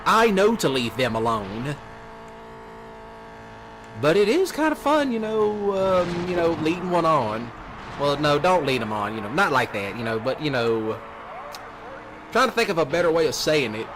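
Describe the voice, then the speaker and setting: A man's voice: fairly high pitch, big pitch swings, moderate pace, long pauses. A man in a casual, rambling livestream rant, repeating himself and addressing his audience as y'all and lads. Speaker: livestreamer doing a let's play. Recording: noisy with car engine sounds, uneven volume. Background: car and vehicle sounds from racing game.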